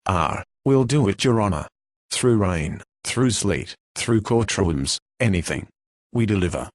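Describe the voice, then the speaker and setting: An actor playing an eager, folksy working-class mail carrier with slangy talk in a radio play. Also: male voice, on the low side, expressive, measured pace, no room sound, clean studio sound. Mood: proud.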